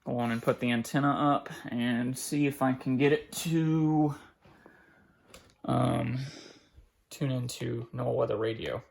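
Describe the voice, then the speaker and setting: A man giving a casual, unscripted unboxing narration in a halting, informal way, thinking aloud as he goes. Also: mid-range voice, fairly even intonation, vocal fry. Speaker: hobbyist collector presenting an unboxing. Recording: faint background noise, clicks of handling.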